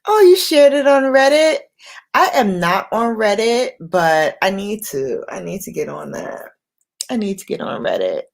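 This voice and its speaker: A woman hosting an impromptu late-night livestream, speaking in an unhurried, reflective way in short, paused phrases. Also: mid-range voice, sing-song, vocal fry. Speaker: livestream host.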